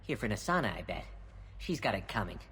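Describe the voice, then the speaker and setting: An actor playing a blunt, bitter, overworked construction worker in a video game. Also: male voice, lowish voice, very animated, brisk, pushed and bright, husky, full character voice.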